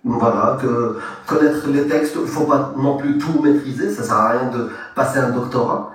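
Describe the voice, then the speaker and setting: A man speaking in a measured, plain way in a live online debate. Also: medium pitch. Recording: distant, echoey sound.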